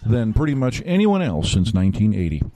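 A man's voice: on the low side, big pitch swings, a little fast, warm tone, no echo. A man hosting an interview with a wry, self-deprecating sense of humor. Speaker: interview host. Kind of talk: casual joking interview.

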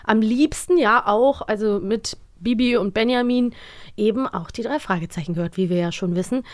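A woman's voice: on the high side, moderate pace, no room sound. A woman podcast host with a standard German accent, chatting casually and explaining things in a conversational way. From Rhineland, Germany. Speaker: voice actor. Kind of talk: casual podcast chat about audio dramas.

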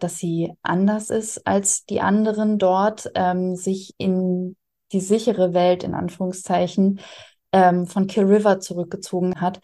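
A woman's voice: lowish voice, dark tone. A woman explaining things conversationally as an interview guest and author.

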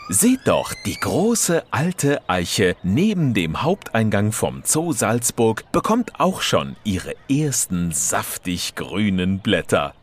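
Deep, animated man telling a children's story. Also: slow, few pauses, breathy edge. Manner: expressive, wondering, addresses young listeners. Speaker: narrator.

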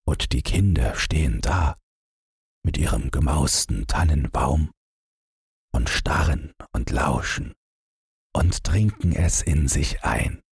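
A man narrates a literary story in an extremely low, gravelly voice. His delivery is measured and dramatic, taking its time with each phrase. The sound is very breathy and husky. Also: lively intonation, dry recording, clean studio sound. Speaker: audiobook narrator.

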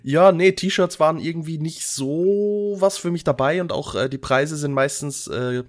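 A man's voice: fairly high pitch, moderate pace. Man with a cold, chatting casually. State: mild cold from Covid. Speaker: podcast host. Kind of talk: casual podcast chat. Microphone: close USB or headset microphone.

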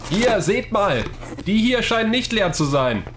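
A man playing a brisk, practical explorer in a German science-fiction audio drama, urging his companions along. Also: high-pitched, very animated, a bit bright, exaggerated voice. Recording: faint background noise.

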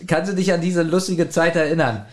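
A man's voice: a little high, fairly quick. A man co-hosting a podcast, talking in casual banter. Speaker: podcast co-host.

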